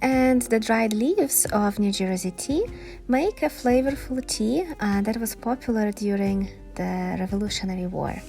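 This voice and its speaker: A woman with a Ukrainian accent, whose first language is Ukrainian, explains things in an informal gardening vlog. She takes her time over each phrase and sounds enthusiastic. Soft music plays faintly behind her. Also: mid-range voice, measured pace, creaky. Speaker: gardening video host.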